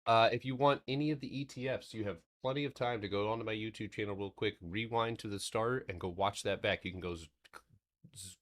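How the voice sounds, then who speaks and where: Very deep-voiced man streaming trades. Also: fairly quick. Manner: loose, rambling, swears casually; steady explanatory tone on charts. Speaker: stock trading streamer. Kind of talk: casual livestream market recap.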